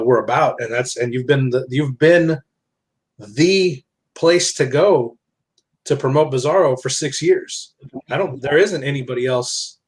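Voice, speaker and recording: A man's voice: mid-range voice, rough recording. A man speaking as a podcast guest in casual, reflective conversation, telling things in a discursive, self-correcting way. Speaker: podcast guest, author and publisher.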